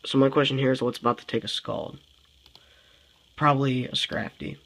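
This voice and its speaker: A man's voice: medium pitch, normal speed, gravelly, fairly bright tone. A young man with a congested voice giving casual game commentary, thinking aloud, sighing often and sounding frustrated. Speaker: gameplay commentator.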